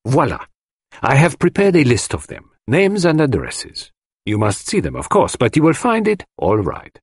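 A man voicing a theatrical, commanding detective, formal and deliberate, in an audiobook. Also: mid-range voice, huge pitch swings, husky, no room sound, clean studio sound, pitched above the performer's own voice. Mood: assured. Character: theatrical Belgian male detective, precise, commanding, dramatic. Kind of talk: audiobook character voice.

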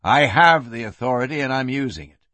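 Stern Western lawman, voiced by a male narrator: cold, curt, commanding, mid-pitched, recorded dry. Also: lively intonation, fairly quick, bright, forceful tone, dry recording.

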